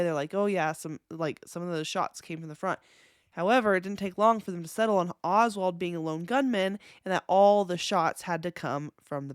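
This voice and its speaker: A woman's voice: medium pitch, dry recording, studio quality. A young woman with a congested voice hosting a podcast, talking in a casual, skeptical way with lots of fillers. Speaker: podcast host. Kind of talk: casual conspiracy podcast narration.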